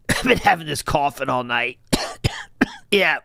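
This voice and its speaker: Coughing, feeble guy calling in sick to the boss, as a man puts him on, high and lively. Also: measured pace, vocal fry, no room sound, exaggerated voice. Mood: fake sick.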